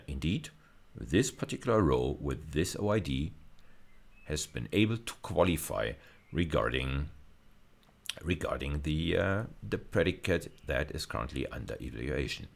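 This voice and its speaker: A man with an extremely low-pitched voice lectures on technical material. He explains step by step, pausing and restating phrases as he goes. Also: creaky. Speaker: university lecturer.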